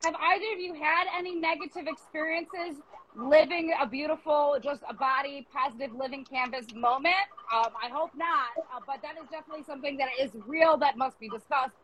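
A woman's voice: high voice, fairly even intonation, fairly quick, fairly bright tone, rough recording. A woman hosting a casual arts interview, talking in a rambling, reflective and self-deprecating way. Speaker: host of an arts interview show.

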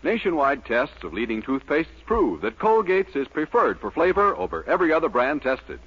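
Male ad announcer, persuasive and emphatic, breathy tone, dull old radio audio. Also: on the high side, sing-song, normal speed. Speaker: commercial announcer. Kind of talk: 1940s radio commercial reading. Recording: dull lo-fi 1940s radio broadcast.

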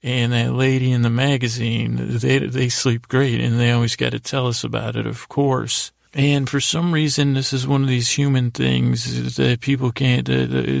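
A man hosting a bedtime podcast, rambling in a halting, meandering way with droll silliness and frequent self-interruptions, in a gravelly, creaky voice. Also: mid-range voice, no echo, studio quality. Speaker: podcast host. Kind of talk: rambling bedtime monologue with tangents.

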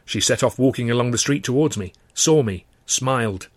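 A man reading a first-person novel as dramatic audiobook narration, expressive and often in short clipped phrases. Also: mid-range voice, moderate pace, dry recording. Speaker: author reading his own novel.

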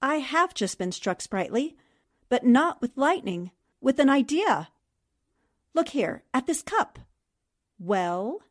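A woman playing a confident, sharp-witted newspaperwoman sleuth in 1940s radio drama style, brisk and knowing. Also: sits high, very animated, exaggerated voice. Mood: excited, knowing.

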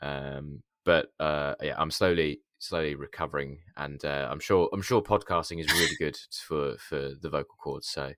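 A man with a hoarse, gravelly voice, co-hosting a podcast in casual chat, laughing a lot and stumbling over his words. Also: very deep voice, steady tone, normal speed, hardly any pauses, fairly bright tone, no echo, clean studio sound. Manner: laughs often, stammering restarts. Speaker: podcast co-host.

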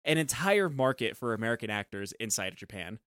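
A man's voice: medium pitch, big pitch swings, a little fast, fairly bright tone, dry recording, studio quality. A man co-hosting a podcast, chatting casually about a film and rambling with lots of filler words. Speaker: podcast co-host.

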